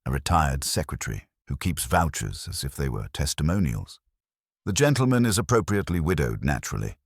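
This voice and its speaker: A man's voice: extremely low pitch, big pitch swings, brisk, no room sound, studio quality, full character voice. A man voicing a prim, shrewd genteel older lady. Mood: crisp, relishing. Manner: crisp, precise, dryly pleasant.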